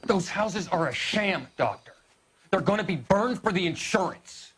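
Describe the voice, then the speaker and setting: An actor playing an earnest, troubled young medical student who talks with urgency in a video game cutscene. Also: male voice, high-pitched, lively intonation, unhurried, fairly bright tone, slightly rough, heavily acted. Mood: urgent, accusing. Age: young adult.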